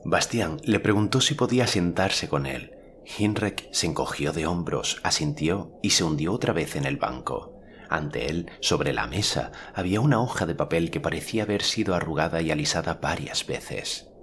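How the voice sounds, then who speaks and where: A man's voice: rumbling low, expressive, moderate pace, a bit bright, slight background noise. A man from narrating a novel as an audiobook, in scripted literary style. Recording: music clearly behind the voice.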